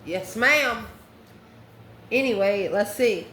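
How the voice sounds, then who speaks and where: In an echoey room with clear background noise, a woman talks her way through a tarot reading as the host. She speaks at a middle pitch in a chatty, informal way, drifting into asides as she goes. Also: slow, a bit bright. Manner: chatty, informal, chuckles often, rambling asides. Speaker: tarot reader and channel host. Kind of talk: casual, conversational tarot reading.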